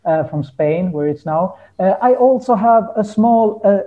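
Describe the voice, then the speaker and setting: A man's voice: high-pitched, animated, a little slow, phone-quality sound. A man chatting as an interview guest, searching for words in English. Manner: hesitant, searching for words in non-native English. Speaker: interview guest, folk musician. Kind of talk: casual conversational interview.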